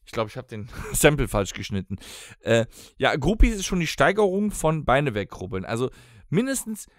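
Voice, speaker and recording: A man's voice: medium pitch, big pitch swings, normal speed, no room sound, clean studio sound. A man, chatting casually and bantering as a podcast co-host. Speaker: podcast co-host and band musician. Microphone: close studio podcast microphone.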